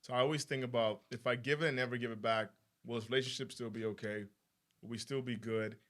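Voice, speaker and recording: A man speaking thoughtfully and in measured terms as a panelist in a live discussion. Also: mid-range voice, steady tone, fairly bright tone.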